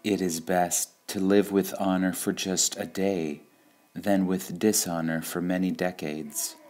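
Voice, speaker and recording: A man reading spiritual sayings aloud in a calm, measured way, pausing between phrases. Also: low voice. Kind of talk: slow meditative reading of quotations.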